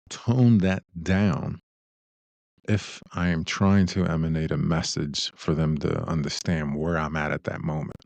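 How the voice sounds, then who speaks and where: A man with a deep voice, co-hosting a casual advice discussion in a reflective way, often posing rhetorical questions. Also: vocal fry, darkish tone, dry recording. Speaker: podcast co-host.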